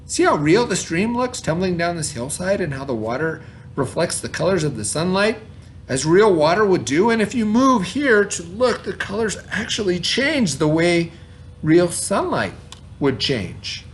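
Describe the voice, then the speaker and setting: A proud young woman weaver from a children's storybook myth, read out by a man. The voice sits a little high for a male reader and speaks with feeling about her craft. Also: sing-song, unhurried, a little hiss or hum.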